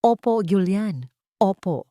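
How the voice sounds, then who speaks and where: Woman playing a gentle, earnest young heroine. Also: medium pitch, expressive, takes it slowly, no echo, studio quality. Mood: tender joy. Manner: soft-spoken, earnest.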